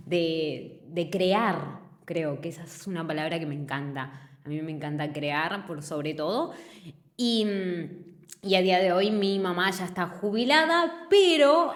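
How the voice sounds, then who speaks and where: Argentine woman, lowish voice, reflective, rambling storyteller, echoey room. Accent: Argentine, Buenos Aires. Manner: earnest, reflective, rambling with restarts. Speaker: fitness business co-founder, guest. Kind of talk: relaxed conversational interview.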